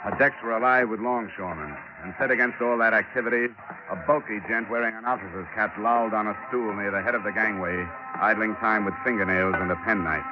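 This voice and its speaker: A man's voice: fairly low pitch, fairly even intonation, brisk, lightly acted. An actor playing a tough, terse insurance investigator who narrates his own case in a 1940s radio drama. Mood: dry. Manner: terse, hard-boiled. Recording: muffled old radio broadcast with music.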